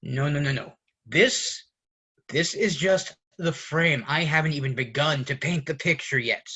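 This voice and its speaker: A man playing an exasperated roommate ranting at his fussy friend in a deliberate theatrical monologue with dramatic pauses. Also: on the high side, pushed and bright, slightly rough, exaggerated voice. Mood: berserk, sarcastic. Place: online video call performance.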